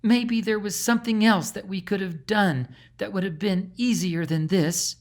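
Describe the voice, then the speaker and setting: A man's voice: high voice, normal speed, pitched above the performer's own voice. A man voicing a distressed young princess in a storybook, fretful and full of regret. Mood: regretful.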